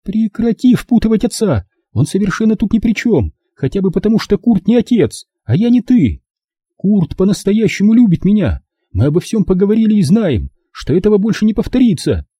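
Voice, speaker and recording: Man doing a defiant, high-voiced grown daughter. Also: very animated, brisk, mellow, breathy edge, no room sound, full character voice. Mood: defensive, stubborn. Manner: curt, argumentative. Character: defiant, stubborn grown daughter, weary and defensive. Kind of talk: audiobook character dialogue.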